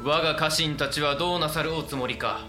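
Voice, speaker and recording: Proud samurai lord, high-pitched voice, music behind. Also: male voice, steady tone, brisk, sharp tone. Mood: indignant, pressing. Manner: archaic, commanding, impassioned warlord speech. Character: proud, honorable samurai lord resigned to defeat. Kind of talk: Japanese period audio drama acting.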